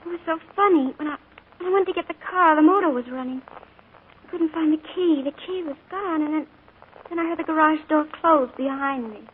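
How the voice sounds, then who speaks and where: A woman playing an earnest young woman in a 1940s radio drama, speaking quietly and tensely but firmly when she stands her ground. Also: high-pitched, a little fast, slight background noise, lightly acted. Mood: shaken. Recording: narrow 1940s radio broadcast.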